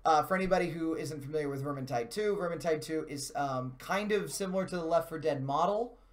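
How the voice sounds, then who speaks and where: A man's voice: fairly high pitch, quick pace. A man hosting a live stream with casual gaming commentary, talking in a chatty, self-deprecating and mildly sweary way.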